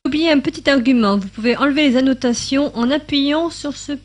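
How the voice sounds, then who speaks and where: A woman with a fairly high voice talks through a screen-recorded tutorial at her computer. She chats loosely, filling pauses with hesitations and thinking aloud, and sometimes sounds out subtitles in other languages. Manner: chatty, thinks aloud with many fillers. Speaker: YouTube tutorial maker.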